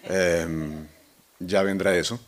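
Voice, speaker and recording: Man, Colombian accent, lowish voice, measured acceptance speech, reflective. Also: fairly even intonation. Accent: Colombian Spanish. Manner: measured, reflective, with soft hesitations. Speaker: award-winning novelist.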